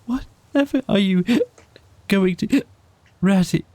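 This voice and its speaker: A man performs an anxious male mole from a cosy storybook. The voice sits high and flustered, stammering through tearful pleas and apologies, recorded close and dry. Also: takes it slowly, dark tone, no room sound. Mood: tearful alarm.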